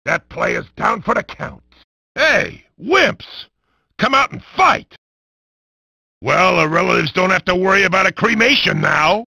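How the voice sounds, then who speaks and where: An actor voicing a bombastic, wisecracking, gleefully violent sports announcer in a video game, with a gravelly, raspy voice and hammy delivery. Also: male voice, high voice, very animated, measured pace, bright, forceful tone, dry recording, studio quality, full character voice. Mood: excited, bellowing. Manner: hammy, wisecracking, often bellowing. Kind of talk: over-the-top sports commentary for a video game.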